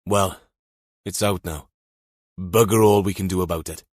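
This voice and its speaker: Man acting male police detective, gruff, weary, irritable, curt, muttered, lowish pitch, crime audiobook. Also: steady tone, moderate pace, a little mellow, no echo, clean studio sound. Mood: weary, bitter.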